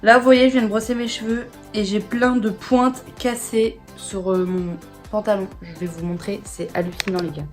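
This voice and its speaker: A young woman with a hoarse voice, chatting casually to camera in a beauty vlog, full of slang and swearing. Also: mid-range voice, steady tone, moderate pace, few pauses, music in the background. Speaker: beauty vlogger.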